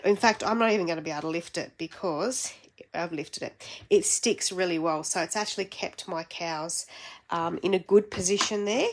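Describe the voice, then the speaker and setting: A woman's voice: mid-range voice, creaky, a little hiss or hum. An Australian woman with a blocked-up, congested nose, chatting casually as she hosts a live crafting stream, rambling with many fillers.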